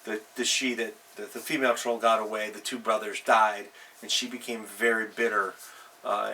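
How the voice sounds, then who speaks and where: A man hosting a role-playing advice show, telling anecdotes in a casual, halting monologue and often correcting himself as he goes. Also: fairly low pitch, pushed and bright. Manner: halting, self-correcting, rambling. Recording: distant mic with faint background noise.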